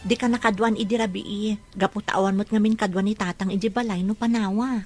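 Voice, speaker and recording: A woman's voice: medium pitch, fairly even intonation, fairly quick, a little mellow, soft background music, natural voice. A woman with a Filipino accent playing a considerate, apologetic friend in an Ilocano audio drama. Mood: apologetic, relieved.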